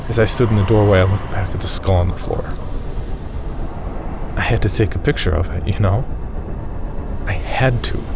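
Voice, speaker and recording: A man's voice: lowish voice, normal speed, long pauses, background noise. A man reading a scripted first-person horror story as its narrator.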